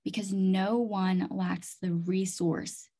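A woman's voice: mid-range voice, little pitch movement, slow pace, dark tone. A woman from Thailand and North Dakota speaking as an interview guest, telling personal stories earnestly and emphatically, with lots of "like" and "literally". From Chiang Mai, Thailand and North Dakota. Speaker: interview guest, community development leader and leadership coach.

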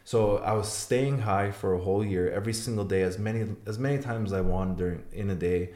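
A man's voice: lowish voice, brisk, a little mellow, echoey room. A young man, born in Iran, telling his story as a podcast guest, blunt and swearing freely. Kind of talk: podcast interview.

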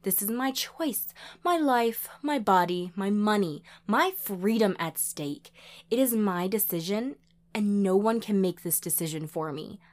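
A woman's voice: on the high side, a little slow, hardly any pauses, voice pushed up, close to an everyday voice. A woman voicing a defiant, resolute daughter in an audio story, firm and keeping emotion in check. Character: defiant, resolute daughter refusing to have children.

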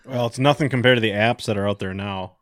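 A man's voice: on the low side, quick pace, a bit bright, no echo, clean studio sound. A man on a podcast panel giving brief, laid-back remarks about video games.

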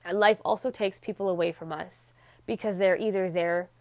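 A woman with a stuffy, congested nose and a slightly raspy voice, giving a chatty motivational monologue and acting out quoted people in animated voices. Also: lowish voice, normal speed, dry recording.

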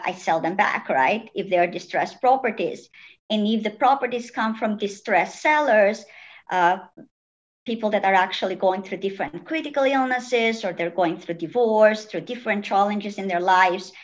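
A woman's voice: mid-range voice, muffled recording. A middle-aged woman with an Italian accent, a real estate agent answering interview questions at length with frequent fillers. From Italy. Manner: talkative, many "uh" fillers, animated.

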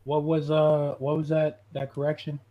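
A man's voice: fairly high pitch, monotone, moderate pace, highs cut off, a loud crowd. A man co-hosting a sports podcast, arguing casually and insistently in slangy language and repeating himself. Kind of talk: casual, argumentative sports chat.

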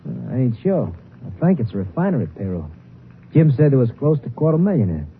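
Small-time American crook, tinny radio. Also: male voice, medium pitch, big pitch swings, fast talker. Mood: conspiratorial. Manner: uneducated, slangy, insistent drawling talk. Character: folksy small-time male crook, eager to be trusted. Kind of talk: 1950s radio drama acting. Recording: tinny, noisy 1950s radio recording.

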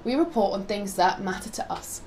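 A woman's voice: medium pitch, animated, moderate pace, a little mellow. A young woman from Poland in a casual, opinionated discussion of current affairs, talking informally and rambling a little. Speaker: co-host. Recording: noticeable background noise.

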